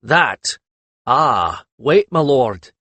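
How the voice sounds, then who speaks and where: A man's voice: medium pitch, big pitch swings, a little slow, no room sound. Casting: man as a mischievous foreign healer, thickly accented, feigning wide-eyed innocence and deference in a fantasy audiobook. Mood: feigned innocence. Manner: mock-deferential, ingenuous. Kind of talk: audiobook character voice.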